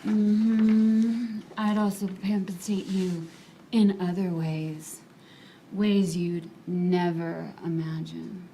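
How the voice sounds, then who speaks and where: An actress playing a seductive, impatient femme fatale in a comic noir radio play, speaking in a sultry, teasing way. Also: female voice, on the low side, fairly even intonation, very slow, dark tone, slight background noise. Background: music.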